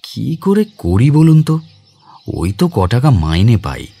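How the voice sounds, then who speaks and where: A man's voice: mid-range voice, big pitch swings, slow pace, gravelly, dark tone, slightly rough, full character voice. An actor playing a kind, reflective man visiting his home village, narrating the dramatised story himself. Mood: respectful, modest. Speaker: narrator and lead character.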